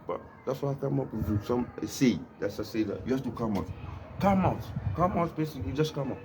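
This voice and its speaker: A man's voice: mid-range voice, lively intonation, fairly quick. Rambling African man, noisy recording. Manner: repetitive, keeps asking "you know what I mean?"; smoking while talking. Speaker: vlogger. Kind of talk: rambling monologue to camera. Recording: noisy background hiss.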